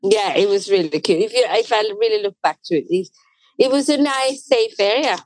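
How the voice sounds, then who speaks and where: A woman's voice: mid-range voice, fairly quick, no echo. A young adult woman from Sweden with a Swedish accent, chatting casually as an interview guest with many fillers and restarts.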